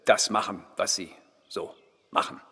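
A man playing a genial, bumbling king in an audio drama, speaking in a halting, hesitant way. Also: fairly low pitch, fairly even intonation, bright, forceful tone, breathy edge, in a large hall, full character voice. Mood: flustered, welcoming.

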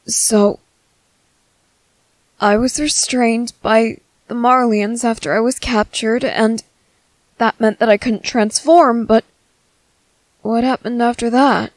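A woman voicing a gentle, earnest young merman in a soft, halting, soothing ASMR roleplay. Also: fairly high pitch, fairly even intonation, no echo. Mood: hesitant, concerned.

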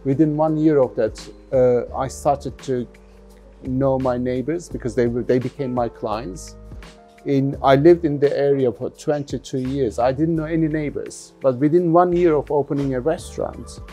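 A middle-aged man from London giving thoughtful interview answers as a business founder, haltingly and often repeating words. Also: medium pitch, warm tone, soft background music. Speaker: jeans factory founder being interviewed.

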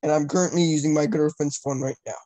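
A man's voice: a little high, fairly even intonation, quick pace, dark tone. A teenage male talking casually and crudely while making prank calls from a small room at home. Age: teens. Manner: crude, foul-mouthed. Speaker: prank caller. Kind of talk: casual prank call banter.

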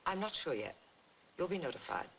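A woman's voice: on the low side, very animated, brisk, fairly bright tone, slight background noise. Formal, grave wealthy woman, middle-aged, 1940s film drama, clipped short answers, dull old soundtrack. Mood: grave, composed. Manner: terse, composed, formal.

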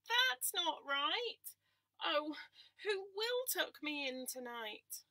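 A woman's voice: sits high, big pitch swings, extremely slow, bright, forceful tone, no room sound, pitched above the performer's own voice, full character voice. A woman voicing a sleepy, sad little male lamb who whines and pleads. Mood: sad whining. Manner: whiny, pleading.

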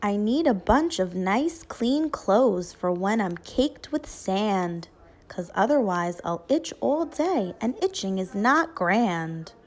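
A woman reading a picture book aloud to children like a teacher, expressive and deliberate, in a child-directed storytelling manner. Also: medium pitch.

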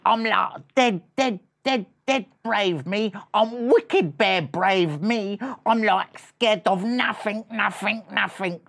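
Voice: mid-range voice, takes it slowly, gravelly, few pauses, fairly bright tone, voice pushed up. A storyteller voicing a cocky young male mouse who boasts in street slang and repeats phrases insistently. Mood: boastful.

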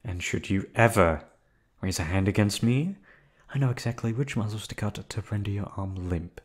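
A man voicing a menacing yandere captor in an ASMR roleplay, with a put-on accent and a calm, coldly threatening delivery. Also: on the low side, moderate pace, lightly acted. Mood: cold menace. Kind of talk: ASMR roleplay audio drama.

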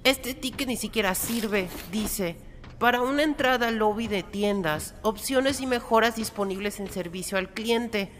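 A woman's voice: a little high, unhurried, a bit bright, a little hiss or hum. A woman voicing a plucky, anxious young boy with a blunt, grumbling manner in a fan-dubbed game animation. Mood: disappointed. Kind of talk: fan dub acting for animated game series.

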